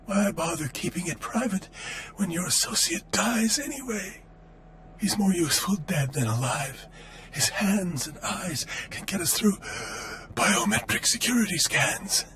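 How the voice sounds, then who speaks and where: A man playing a gloating, theatrical anarchist gang leader in a video game, with silky, drawn-out menace. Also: sits high, animated, fairly bright tone. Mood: cold menace. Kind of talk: video game villain acting.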